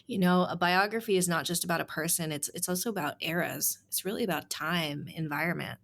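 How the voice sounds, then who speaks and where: Earnest woman author, lively chatty delivery. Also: on the low side, a little fast, vocal fry. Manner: earnest, animated, heavy use of "like". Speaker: author and staff writer, guest. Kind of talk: casual interview talk.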